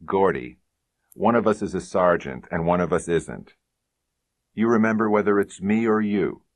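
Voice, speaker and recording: A man plays a low-voiced, sardonic police sergeant from a hardboiled detective story. He drawls with mock-folksy ease and cold amusement, in a close, dry recording. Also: expressive, moderate pace, dry recording. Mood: dryly firm. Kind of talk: hardboiled detective audiobook dialogue.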